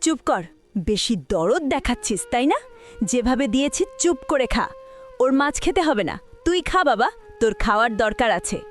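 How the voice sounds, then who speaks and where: A woman voicing a cruel, scheming village stepmother in a cartoon story, scolding and sharp-tongued. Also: a little high, lively intonation, normal speed, natural voice. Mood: scornful, scolding. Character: cruel scheming village stepmother favouring her own son. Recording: music behind the voice.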